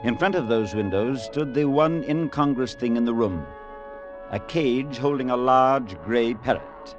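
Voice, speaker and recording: A man playing a priest who narrates his own story in a vintage radio drama, measured and reflective, at times gently amused. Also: medium pitch, lightly acted. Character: thoughtful male priest narrator. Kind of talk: 1940s radio acting. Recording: dull old radio broadcast with faint music.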